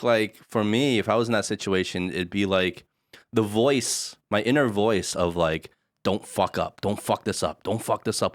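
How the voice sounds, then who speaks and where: A man co-hosting a podcast, speaking in a relaxed, reflective way with frequent fillers. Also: on the low side, dry recording, studio quality. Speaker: podcast co-host. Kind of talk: casual podcast discussion.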